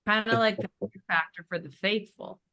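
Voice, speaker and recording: A woman's voice: medium pitch, normal speed, sharp tone, natural voice. A woman reading the part of a sassy housemate at a table read, teasing and pointed as she eggs others on. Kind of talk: informal script table read.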